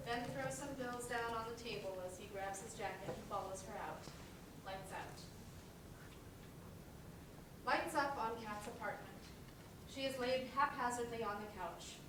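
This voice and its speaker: A woman's voice: fairly high pitch, moderate pace, pauses often. A woman reading stage directions as the narrator of a dramatic play reading, in a scripted narration style. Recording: very echoey, distant mic, noisy background.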